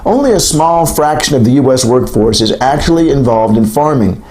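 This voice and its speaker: A man reading a textbook aloud as scripted narration, in a measured, clearly enunciated lecturing way. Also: mid-range voice, expressive, a little mellow, poor audio. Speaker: author reading an audiobook chapter.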